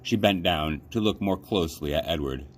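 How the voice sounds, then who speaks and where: A man reading a children's story aloud as an expressive narrator, like a librarian at story time. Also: low voice, moderate pace, slight background noise.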